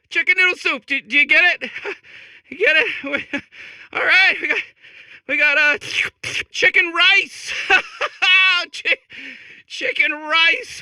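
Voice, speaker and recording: A man's voice: very high voice, bright, forceful tone, dry recording, heavily acted. A man voicing a zany, desperate comedian robot in a video game, stammering through showbiz patter and giggling at its own jokes. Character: manic, desperate, hacky comedian robot cracking itself up. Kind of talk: improvised-sounding comic video game acting.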